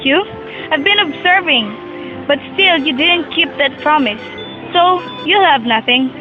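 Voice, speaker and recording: A woman's voice: sits high, lively intonation, unhurried. A young woman acting a stern, ailing old rich father who lectures his sons in an amateur student audio drama. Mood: ailing, resolute. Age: young adult. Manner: lecturing, admonishing. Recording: muffled amateur recording with music.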